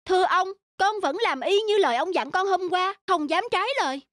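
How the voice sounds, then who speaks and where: A woman's voice: very high-pitched, fairly quick, very noisy. A woman voicing a cheeky, discontented young male farmhand in a folk-tale cartoon, with music behind. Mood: sly, mock-polite.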